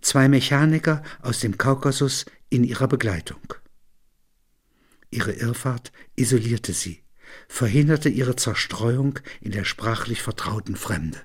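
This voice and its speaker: Husky man reading literary narration. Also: mid-range voice, measured pace. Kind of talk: literary narration with reflective talk.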